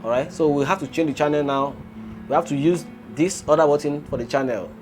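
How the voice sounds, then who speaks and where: A man's voice: on the high side, fairly quick. A man hosting a casual gadget review, explaining and demonstrating as he goes. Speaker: gadget reviewer and video host. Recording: music playing behind the voice.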